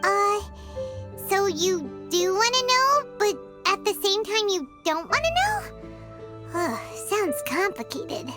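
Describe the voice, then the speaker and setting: A woman playing a tiny, childlike, excitable fairy companion in an anime game, chirpy and full of exclamations. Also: very high voice, slow pace, full character voice. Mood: curious, pondering. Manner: chirpy, exclaiming, refers to herself by name. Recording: music behind the voice.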